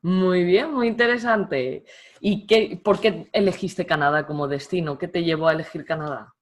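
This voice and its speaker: A woman's voice: fairly low pitch, moderate pace. A woman hosting an interview, politely asking long, winding questions and thanking her guest. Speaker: interview host.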